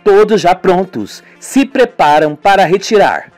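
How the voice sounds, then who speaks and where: A man's voice: high voice, very animated, measured pace, darkish tone, faint music behind. A man narrating an amateur radio novela with dramatic storytelling. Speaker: narrator and sole voice actor.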